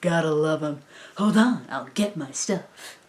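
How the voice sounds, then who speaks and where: A woman's voice: low voice, expressive, a little slow, a little mellow, voice pushed down. A woman voicing an exuberant, bumbling, self-important man who fancies himself a ninja, in a cartoon. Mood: cocky. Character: boisterous, boastful male karaoke club owner, self-styled ninja.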